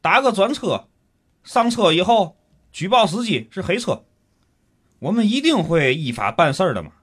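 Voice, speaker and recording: A man's voice: high voice, big pitch swings, moderate pace, a bit bright, breathy edge, exaggerated voice. A man with a Tianjin accent acting a grumbling, pompous city schemer in a comic audio drama, theatrical and colloquial. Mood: pompous, scheming.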